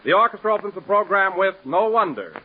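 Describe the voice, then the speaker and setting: A man working as the announcer on a 1930s radio comedy show, speaking in a booming, formal announcer style. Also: lively intonation, quick pace. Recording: tinny 1930s radio broadcast recording.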